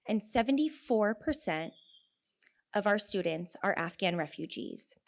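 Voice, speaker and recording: A woman's voice: mid-range voice, expressive, measured pace, gravelly, a little hiss or hum. A young woman with a California accent giving a prepared conference talk, earnest and storytelling, with wry asides. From Northern California. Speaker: conference speaker, elementary teacher. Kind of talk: prepared speech.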